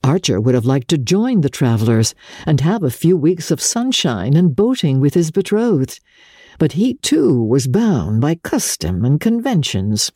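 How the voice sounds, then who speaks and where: A woman giving a dramatic reading of literary fiction as an audiobook narrator, with measured, expressive and deliberate phrasing. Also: low voice, husky, no echo, studio quality.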